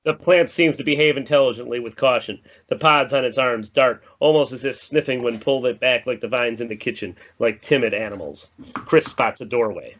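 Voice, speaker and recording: A man's voice: mid-range voice, a little fast, fairly bright tone. Guy narrating stage directions at a table read, dramatic, muffled lo-fi audio.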